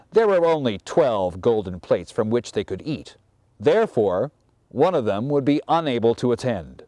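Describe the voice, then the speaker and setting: A man in his fifties reading a fairy tale aloud as a storyteller, with measured, theatrical and deliberate phrasing. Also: mid-range voice, dark tone, dry recording. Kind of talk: dramatic fairy tale narration.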